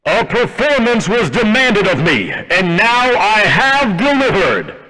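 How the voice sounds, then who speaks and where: A man's voice: sits high, big pitch swings, takes it slowly, sharp tone, heavily acted. Swooping, echoing theatrical robot showman. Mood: grandly menacing. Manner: pompous, stately. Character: flamboyant animatronic stage showman, theatrical and menacing. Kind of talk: grand theatrical villain monologue.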